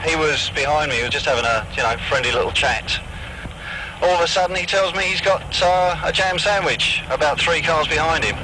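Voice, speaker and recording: A male CB enthusiast talks casually, telling an anecdote. His voice is fairly high for a man, and there is clear vehicle and outdoor noise around him. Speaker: CB radio enthusiast.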